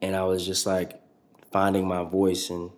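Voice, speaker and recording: A young man with a low, deep voice that stays flat and even as he talks. He rambles loosely through a casual interview, swearing freely and leaning on filler words. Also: measured pace. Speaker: rapper guest.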